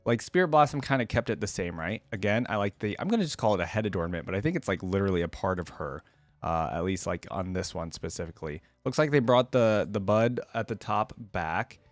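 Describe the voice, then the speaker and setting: A man's voice: on the low side, animated, fairly quick, no echo. A man giving casual, enthusiastic reaction commentary as a video game fan on his channel.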